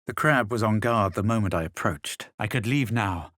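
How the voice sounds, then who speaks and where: An actor playing a haughty, self-assured fantasy adventurer in a video game, with a superior, dry and dramatic delivery. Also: male voice, fairly low pitch, expressive, moderate pace, fairly bright tone, dry recording. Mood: dry, disdainful. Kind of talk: scripted video game voice lines.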